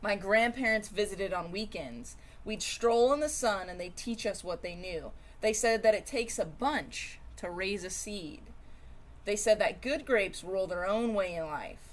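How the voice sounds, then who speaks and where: A woman reading a children's storybook aloud in an expressive, dramatic way, with varied emphasis and pauses. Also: medium pitch. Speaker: storybook reader.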